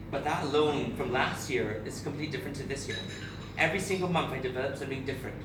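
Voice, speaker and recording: A man in his thirties from Barbados gives a candid, emotional personal speech to an audience, with frequent restarts. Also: mid-range voice. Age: 30s. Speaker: speaker at a charity event. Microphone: far camera. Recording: echoey room, captured from far off with noise.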